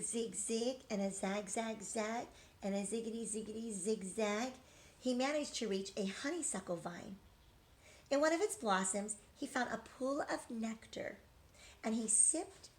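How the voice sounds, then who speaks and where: Unhurried, high-voiced woman reading to children. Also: a little hiss or hum. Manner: expressive, pausing to ask listeners questions. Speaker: teacher reading aloud to children. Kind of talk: picture-book read-aloud for young children. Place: living room couch at home.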